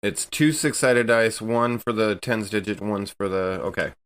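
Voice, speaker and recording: Man, somewhat low pitch, casual game-table talk, tabletop player. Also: a little slow, a bit bright, smooth.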